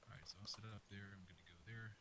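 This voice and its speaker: A man with a lowish voice talks half to himself while sorting out his stream setup. A clear hiss sits under his voice, from a home mic. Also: steady tone, racing. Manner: mutters to himself while fixing tech. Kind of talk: casual live-stream talk.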